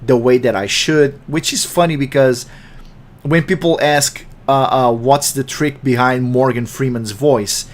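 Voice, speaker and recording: A raspy-voiced man, an English speaker, hosting a casual developer interview and talking fast. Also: mid-range voice. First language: Portuguese. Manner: fast-talking, self-conscious, rambling. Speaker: podcast host and game developer. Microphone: computer microphone.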